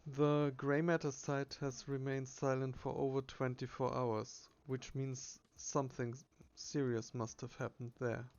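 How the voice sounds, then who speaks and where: A man voicing a calm, rational consultant investigator in a game, formal and measured. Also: mid-range voice, muffled recording, lightly acted.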